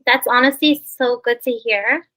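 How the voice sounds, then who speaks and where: A bright, high woman's voice leads the interview in a chatty, friendly way. She fills her questions with "you know" and "like." She is the Canadian host of the show. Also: measured pace, low-quality recording. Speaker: podcast host. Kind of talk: interview hosting.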